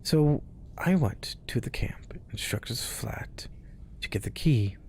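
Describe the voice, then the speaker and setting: Slow, whispery male storyteller. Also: medium pitch, animated. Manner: hushed, often dropping to a whisper. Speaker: storyteller host. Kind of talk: reading spooky stories aloud.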